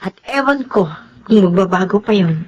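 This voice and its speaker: An actress playing a kindly, devoted nanny in a Filipino radio drama, narrating and acting with a gentle, caring manner. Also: female voice, medium pitch, expressive, moderate pace, breathy edge, highs cut off. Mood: caring, concerned. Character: kindly, devoted nanny and mother telling her story. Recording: music bed behind the voice.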